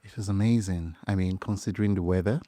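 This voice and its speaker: Low-voiced Nigerian man, thoughtful. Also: fairly even intonation, fast talker, mellow, no room sound. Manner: thoughtful, hesitant, many fillers and restarts. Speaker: podcast guest, structural engineer. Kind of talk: conversational interview.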